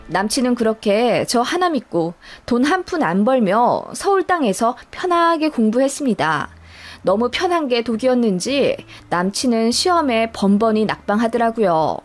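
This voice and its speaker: High-pitched woman narrating a story over music. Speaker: story narrator reading a first-person listener story. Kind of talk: scripted dramatized story narration. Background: background music.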